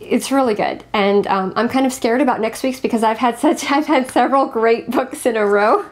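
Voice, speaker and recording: Higher-voiced woman chatting about books. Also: moderate pace. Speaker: co-host of a book review show. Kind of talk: casual book chat.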